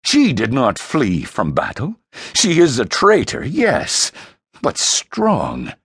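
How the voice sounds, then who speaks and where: A man's voice: medium pitch, huge pitch swings, takes it slowly, fairly bright tone, husky, no echo, studio quality, pitched above the performer's own voice, exaggerated voice. A man voicing a towering, domineering emperor and father in a fantasy audiobook, scornful and commanding. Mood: scornful anger. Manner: scornful, commanding, snorting disdain. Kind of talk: dramatic fantasy audiobook character voice.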